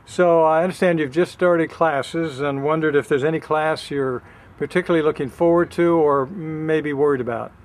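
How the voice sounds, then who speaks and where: A man interviewing a student, asking measured, polite questions outdoors. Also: a little high, fairly even intonation.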